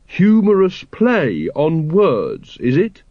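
A man's voice: fairly high pitch, slow pace, no room sound, dull audio, voice pushed up, heavily acted. A man doing a naive, earnest young watchman. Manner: earnest, puzzled, flat.

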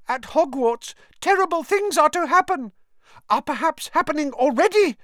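A man's voice: extremely high pitch, a bit bright, no room sound, studio quality, pitched above the performer's own voice. A man voicing an anxious, servile male house-elf who pleads and keeps calling people sir.

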